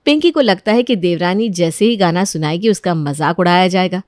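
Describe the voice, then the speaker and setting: A woman's voice: lowish voice, fairly quick, a little mellow, no echo, studio quality. A woman narrating a scripted story as a storyteller.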